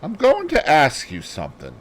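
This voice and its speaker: A man voicing an earnest, insistent gentleman in a mystery audiobook. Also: a little high, wildly swooping pitch, normal speed, pitched above the performer's own voice. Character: earnest gentleman narrator proposing a detective to friends.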